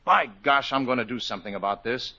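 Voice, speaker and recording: A man's voice: medium pitch, fast talker, bright, forceful tone. An actor playing a bashful, boyish young man who misses his sweetheart and bursts into song, in a 1940s radio musical. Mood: eager. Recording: muffled 1940s radio broadcast. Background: studio orchestra.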